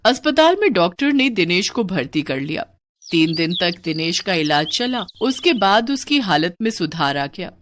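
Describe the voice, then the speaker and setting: A woman's voice: lowish voice, sing-song, normal speed, fairly bright tone, slight background noise. A woman reading a scripted moral story as the narrator.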